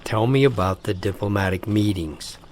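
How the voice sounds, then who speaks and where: A man's voice: lowish voice, moderate pace, a little mellow, slight background noise, lightly acted. A man playing a stern, emotionally distant general and father in an audio drama, with a clipped, commanding manner. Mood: businesslike.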